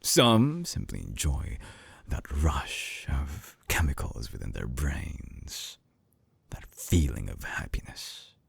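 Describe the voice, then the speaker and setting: A man playing an obsessive, coolly clinical mad scientist in an intimate binaural audio roleplay, speaking slowly in a hushed, breathy near-whisper with long pauses. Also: very deep voice, huge pitch swings, dry recording, exaggerated voice. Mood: coolly reasoning. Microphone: close binaural microphone.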